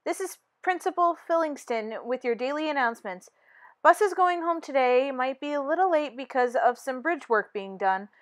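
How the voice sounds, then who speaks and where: A woman's voice: high-pitched, expressive, moderate pace, dry recording. A woman voicing a formal yet peppy principal of a school for teeth, reading out announcements in a storybook. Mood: official, peppy.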